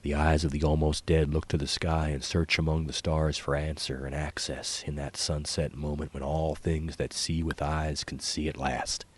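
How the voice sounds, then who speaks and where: A man narrating a literary short story in a measured, hushed manner that drops toward whispers. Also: extremely low pitch, little pitch movement, breathy edge. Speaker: author reading own short story.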